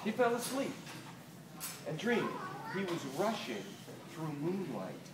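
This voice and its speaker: Animated American man reading a storybook aloud, distant. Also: high voice. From Delaware, United States. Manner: dramatic pauses, asking young listeners questions. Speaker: children's author reading his book aloud. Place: inside a small toy shop. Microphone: camera a few metres away. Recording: roomy, distant, noisy phone-camera recording.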